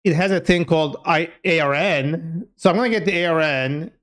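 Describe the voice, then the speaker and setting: A man's voice: on the high side, normal speed, fairly bright tone. A man with a Turkish accent, a native Turkish speaker, chats casually and informally on a livestream, thinking aloud as he codes. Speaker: live streamer and programmer. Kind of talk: casual livestream chat while coding.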